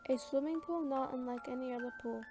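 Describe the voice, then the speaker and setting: A woman playing a cool, eerie anthology narrator, reading scripted narration in a measured, portentous way. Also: sits high. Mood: mysterious. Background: chiming glockenspiel music.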